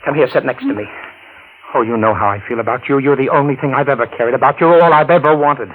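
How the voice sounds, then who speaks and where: An actor playing a lovesick, jilted suitor, earnest, desperate and easily led, with intense pleading in a 1940s radio drama manner. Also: male voice, medium pitch, fast, narrow, tinny audio, lightly acted. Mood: ardent.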